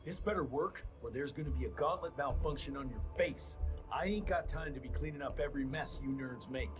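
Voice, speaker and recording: An actor playing a gruff, sardonic American monster hunter in a Wild West video game, with a blunt, folksy, sarcastic manner. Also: male voice, on the high side, brisk, music in the background, lightly acted. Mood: irritated. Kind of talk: video game cutscene acting.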